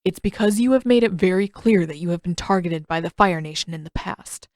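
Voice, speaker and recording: A woman voicing a stern, proud old male master in a story reading, speaking in a formal, measured, condescending way. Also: fairly low pitch, a little mellow, dry recording, studio quality, close to an everyday voice. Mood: grave, scolding. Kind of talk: audiobook-style fan fiction character reading.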